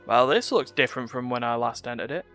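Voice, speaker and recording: A man's voice: medium pitch, lively intonation, fast, a bit bright, soft background music. A man streaming a video game, giving casual live commentary and musing aloud in a wry way.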